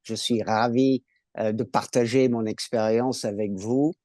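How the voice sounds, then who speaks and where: A man in his seventies from California with an American accent, talking slowly and warmly as a patient guest in a webinar interview. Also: medium pitch, steady tone, no echo, studio quality. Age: 70s.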